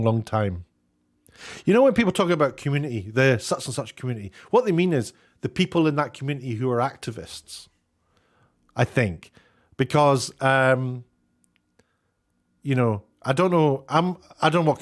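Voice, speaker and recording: A man giving informal opinion commentary, thinking aloud in a rambling, halting way as he goes. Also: mid-range voice. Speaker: online commentator; former BBC worker. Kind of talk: off-the-cuff opinion commentary with read-out quotes.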